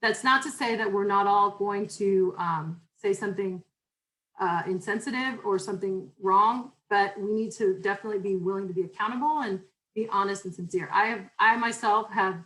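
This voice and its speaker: A woman instructor with a loud voice, talking informally through a syllabus over a video call, with many fillers and restarts. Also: mid-range voice, moderate pace, low-quality recording. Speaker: university instructor. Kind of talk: online class welcome and syllabus talk.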